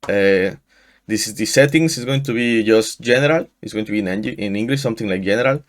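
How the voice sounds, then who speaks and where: Man, 30s, Mexican Spanish accent, slightly low pitch, halting tutorial talk, headset mic, small room. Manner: halting, frequent restarts and fillers. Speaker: tutorial host. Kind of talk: screen-share software tutorial.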